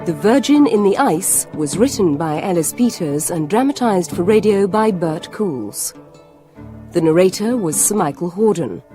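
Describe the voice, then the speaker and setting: A woman reading a scripted radio announcement in a formal, measured way. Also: fairly low pitch, darkish tone. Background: music.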